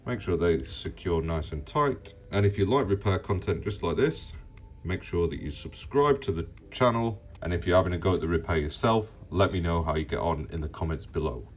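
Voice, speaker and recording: A man's voice: sits low, lively intonation, brisk, soft background music. A man hosting a repair tutorial, narrating step by step in a plain, practical, informal way.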